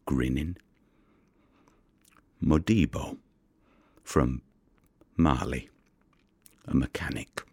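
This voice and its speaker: An actor playing a world-weary, wary fisherman in an audio drama monologue, with a gravelly voice and a wry, colloquial way of telling it. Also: rumbling low, darkish tone, no room sound, studio quality, exaggerated voice. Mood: amused, guarded.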